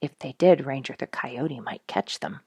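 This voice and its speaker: A woman's voice: very deep voice, animated, moderate pace, a little mellow, slightly rough, no echo, clean studio sound, heavily acted. A woman reading the lines of eager, kind farm children in a storybook, in an earnest way. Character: kind, busy farm boy and girl.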